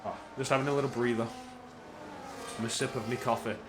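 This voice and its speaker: A man doing solo live-stream race commentary, energetic play-by-play that climbs in pitch at tense moments, with chatty asides in quiet spells. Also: fast talker, echoey room, noisy background.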